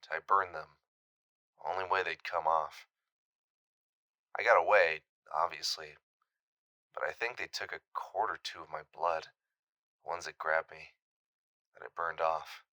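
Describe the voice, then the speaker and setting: An actor playing a shaken, haunted man in an audio drama, recounting a terrifying encounter in a halting, hesitant voicemail monologue. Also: deep voice, pushed and bright, no room sound, clean studio sound. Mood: tense, urgent.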